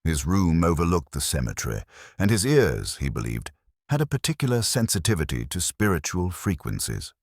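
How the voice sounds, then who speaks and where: A man reading a scripted mystery story in a measured, deadpan and wry manner. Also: deep voice, husky, no room sound, studio quality. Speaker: audiobook narrator.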